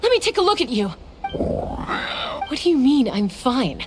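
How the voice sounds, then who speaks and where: A woman's voice: sits high, big pitch swings, normal speed, noisy background. A woman playing a confident young peacemaker in a video game, stubborn but caring, with a dry, protective manner. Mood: worried, urgent.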